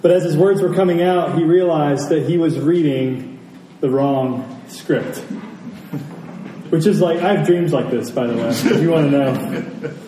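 A man preaching a sermon in a deliberate, emphatic way, with dramatic pauses between phrases. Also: fairly high pitch, dark tone. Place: church service, speaking to congregation. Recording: roomy echo, distant mic, faint noise.

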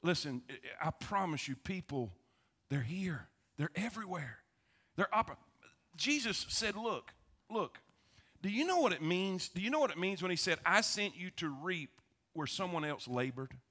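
Male preacher, Southern American, high-pitched and forceful, folksy sermon delivery with repeated phrases. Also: normal speed. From South Florida, United States. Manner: folksy, animated, repeats phrases, calls for amens. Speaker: pastor. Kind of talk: sermon, folksy storytelling to a congregation.

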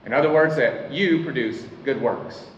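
Pastor delivering a sermon, earnest and deliberate; dull, roomy recording with faint ambience. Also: male voice, medium pitch, slight background noise. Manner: earnest preaching, slows to stress key lines. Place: church hall. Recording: echoey hall, mic at a distance, dull sound.

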